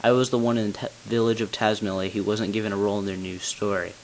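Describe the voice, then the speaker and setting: A hissy home recording carries the low voice of a tall, solemn bell ringer acted by an amateur man. He narrates long-kept secrets from a video game story. His delivery is grave and deliberate. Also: level tone, lightly acted, rough recording. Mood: earnest, confiding. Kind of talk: scripted character narration, amateur game voice-over.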